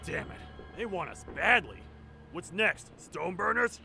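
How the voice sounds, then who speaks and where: A man plays a gruff frontline soldier in a sci-fi war drama. His high, very sharp voice is gravelly and urgent, in clipped military phrases. Faint music is underneath. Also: very animated, measured pace, heavily acted.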